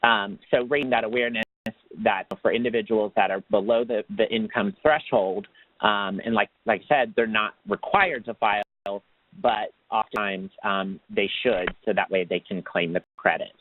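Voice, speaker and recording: A man presenting slides in a webinar, in a formal read-out way that turns halting off script, heard over a phone line. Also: fairly low pitch, fairly even intonation, no room sound. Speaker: webinar presenter, tax assistance program manager. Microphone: phone or conference line. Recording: tinny, narrow phone line.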